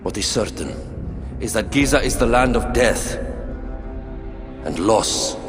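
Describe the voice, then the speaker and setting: A solemn, low-voiced royal adviser. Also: male voice, expressive, long reverb tail, music in the background. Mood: ominous. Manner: grave, measured, dramatic exposition. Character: solemn male conspirator advising an exiled Egyptian queen. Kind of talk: scripted video game cutscene acting.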